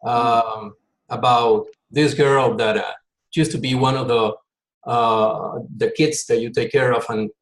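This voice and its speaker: A man speaks earnestly on a video call, repeating himself as he presses a point. His voice sits at an everyday middle pitch. It bounces around an echoey room. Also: fairly even intonation, unhurried. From Peru. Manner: earnest, repeats words when emphasizing. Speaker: volunteer consultant.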